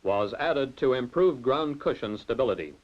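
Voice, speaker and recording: Guy reading formal technical narration, steady and measured, muffled old film sound. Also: mid-range voice. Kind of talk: scripted documentary narration.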